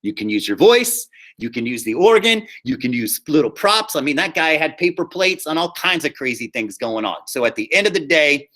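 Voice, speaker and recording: A man with a fairly high, very bright voice talks like a drama teacher walking students through an assignment. He is upbeat and informal, explains things step by step, and often checks in with the class. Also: sing-song, normal speed. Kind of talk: casual instructional talk to students in an online class.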